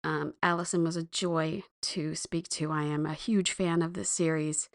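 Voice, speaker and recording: Slightly hoarse chatty woman host. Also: sits low, fairly even intonation, normal speed, no room sound, clean studio sound. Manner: rambling, chatty, self-deprecating. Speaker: podcast host. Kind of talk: casual chat.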